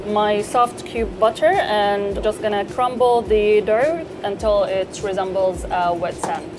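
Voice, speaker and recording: A woman chef walks through a recipe step by step in a measured, explanatory way, speaking at an ordinary pitch. Her tone is gently encouraging as she talks in a kitchen. Music plays clearly behind her. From eastern Saudi Arabia. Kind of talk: cooking demonstration with calm step-by-step instructions. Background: background music.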